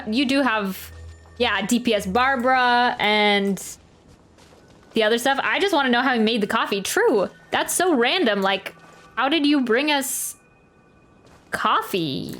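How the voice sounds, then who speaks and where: A woman livestreaming a video game, chatting casually and thinking out loud as she reacts and comments. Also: fairly high pitch. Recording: game music behind the voice.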